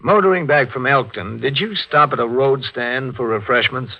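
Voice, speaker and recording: An actor playing a brisk, businesslike police investigator in a 1940s radio drama. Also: male voice, medium pitch, close to an everyday voice. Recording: dull 1940s radio recording.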